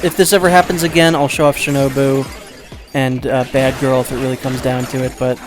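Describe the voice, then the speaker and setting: A man giving casual, rambling let's play commentary, second-guessing himself out loud and swearing offhandedly. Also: mid-range voice, flat delivery, a little fast.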